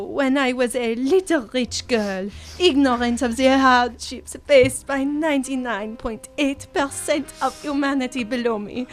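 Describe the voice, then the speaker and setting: A woman playing a haughty, overdramatic rich lady. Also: high-pitched. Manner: theatrical, overwrought, drawn-out delivery. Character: wealthy, melodramatic, haughty woman employer. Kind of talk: student radio drama acting.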